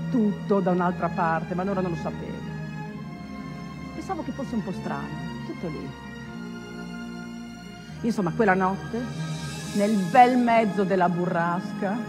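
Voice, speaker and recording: A woman acting as a ship's trumpet player, telling a wondrous memory as a dramatic, colloquial stage monologue with pauses and asides. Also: lowish voice, natural voice. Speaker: stage actor performing a theatre monologue. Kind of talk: theatrical storytelling monologue. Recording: long hall reverb, music behind.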